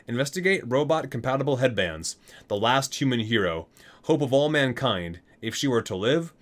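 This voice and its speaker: Guy reading a game book out loud, mid voice, really animated, dramatic on the names then casual asides. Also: medium pitch. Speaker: video creator reading a game book aloud.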